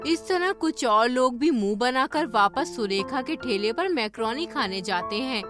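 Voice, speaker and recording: A woman's voice: a little high, lively intonation, a little slow, over music. A woman reading scripted story narration for a cartoon.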